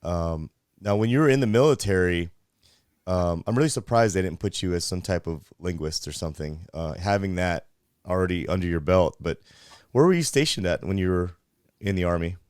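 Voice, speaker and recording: Male podcast host, low resonant voice, dry close sound, measured and affirming. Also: expressive, darkish tone, smooth, no echo. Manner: measured, affirming, drawn-out questions. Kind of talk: conversational interview.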